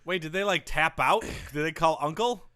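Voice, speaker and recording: A man with a bright, crisp, smooth voice, co-hosting a casual comedy podcast. He is from Michigan and tosses out quick wisecracks and jokes at his own expense. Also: on the high side. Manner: wisecracking, self-deprecating jokes. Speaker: podcast co-host.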